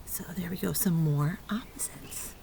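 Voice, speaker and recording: A woman's voice: on the low side, moderate pace, gravelly, warm tone, in a whisper. A woman leading a lesson for young children in a playful, sing-song preschool teacher's manner. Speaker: early learning preschool teacher. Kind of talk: children's lesson with sung fingerplay rhymes.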